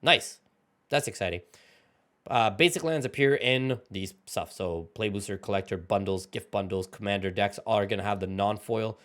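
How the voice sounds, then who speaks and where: A man hosting a card game channel, giving casual excited reaction commentary as he reads card text aloud, his voice going hoarse and his throat often clearing. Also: lowish voice, moderate pace. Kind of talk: casual reaction commentary while scrolling an article.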